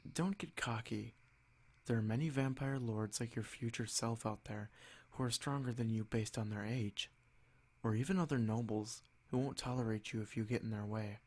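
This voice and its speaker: A man playing a noble vampire lord in an ASMR roleplay, haughty and possessive, speaking in a measured, condescending, softly threatening way. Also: fairly low pitch. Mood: explaining, condescending.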